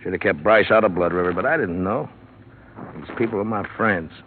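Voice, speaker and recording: Weary sheriff, low voice, tinny old radio sound, 1950s radio drama. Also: male voice, fairly quick, a little hiss or hum. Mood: troubled. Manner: shouting over a mob, then quiet and troubled. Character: weary small-town male sheriff, steady.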